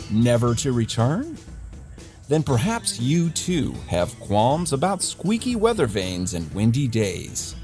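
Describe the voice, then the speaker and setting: A man's voice: mid-range voice, darkish tone. A man playing a wry, defiant news host in a dystopian future city, delivering a satirical scripted newscast in a theatrical, mock-grand way. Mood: mock-solemn pitch.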